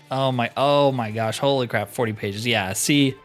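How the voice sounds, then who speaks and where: A man giving casual, informal commentary on a game playthrough, musing aloud to viewers with mild exclamations. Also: medium pitch. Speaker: gaming channel host.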